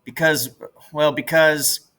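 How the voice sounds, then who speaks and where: A man's voice: fairly high pitch, fairly even intonation, slow, bright, forceful tone, pitched above the performer's own voice. A man voicing a grumbling, teasing country boy in a storybook, with a folksy, exasperated manner. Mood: anxious rehearsing.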